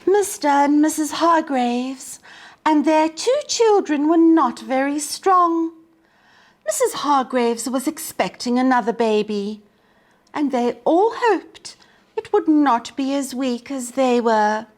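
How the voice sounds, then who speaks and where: Lady doing storytime for little kids, slow n expressive, super up and down voice. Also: high-pitched. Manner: deliberate, expressive, addressing young children. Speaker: storytime reader for children. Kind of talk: children's storybook narration.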